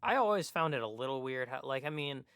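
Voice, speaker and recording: A man's voice: medium pitch, lively intonation, quick pace, a bit bright, smooth, no echo, studio quality. A man co-hosting a casual podcast, hesitant and thinking aloud as he talks. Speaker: podcast co-host.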